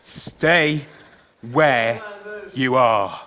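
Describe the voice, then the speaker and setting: A man's voice: medium pitch, very slow, pushed and bright. A man playing a blustering, protective king and father in a live stage pantomime, projecting theatrically. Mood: stern. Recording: live theatre with audience noise.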